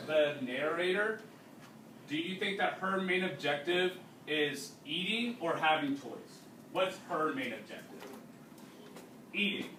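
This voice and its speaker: A man with a fairly high, very bright and forceful voice reads aloud like a classroom teacher. He tells the story and explains it to young listeners as he goes. The sound is roomy and set back from the mic. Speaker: teacher reading aloud to students. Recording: roomy, distant mic with faint noise.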